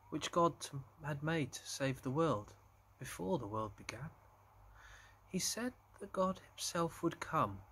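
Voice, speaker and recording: A man's voice: medium pitch, a bit bright, husky, low-quality recording. A man reading a ballad poem aloud. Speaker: church group leader reading aloud.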